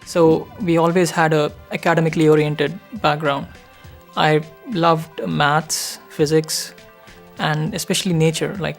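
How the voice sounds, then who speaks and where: Creaky, even-toned young Indian-accented man. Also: fairly high pitch. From New Delhi, India. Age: young adult. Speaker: doctoral student. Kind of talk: reflective interview account.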